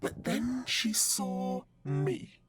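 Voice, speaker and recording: A woman playing a vain, playfully murderous living doll in a horror audio drama, with a slow, singsong, mock-sweet menace. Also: mid-range voice, sing-song, slight background noise. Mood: menacing.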